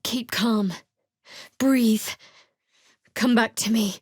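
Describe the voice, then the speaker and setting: A woman playing a guarded, sardonic young cleric of a dark goddess in a fantasy video game, speaking in a dry, measured way. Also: a little high, fairly even intonation, breathy edge, dry recording, heavily acted. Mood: earnest, steady. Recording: dry close studio recording.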